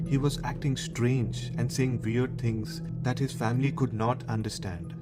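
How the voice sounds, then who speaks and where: Male horror radio host, Indian accent, mid-pitched, dramatic storytelling over prominent music. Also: steady tone, measured pace.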